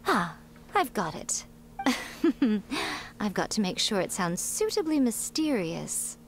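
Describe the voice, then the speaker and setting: A woman playing a poised, playful, sly lady in a video game, speaking in a measured, teasing way. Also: mid-range voice, very animated, slight background noise. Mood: playful, musing.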